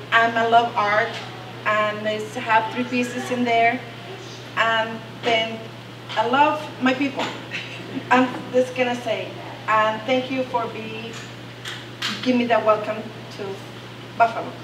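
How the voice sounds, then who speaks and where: A middle-aged woman from Costa Rica with a Costa Rican Spanish accent who speaks English as a second language. She gives a halting talk at an exhibition opening and searches for her words. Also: fairly high pitch, level tone. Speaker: art teacher, exhibiting artist. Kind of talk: informal speech at an exhibition opening. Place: bright gallery room, indoors. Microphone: stand mic, recorded by a camera from a distance. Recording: echoey gallery from a distance, noisy.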